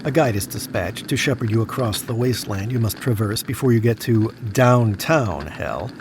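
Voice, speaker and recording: An actor playing a smug, stubborn dead business tycoon in an audio drama, with a wry, self-satisfied manner. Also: male voice, lowish voice, animated, moderate pace. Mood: wry storytelling. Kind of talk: first-person audio drama narration and dialogue. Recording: noisy background ambience, sometimes echoey.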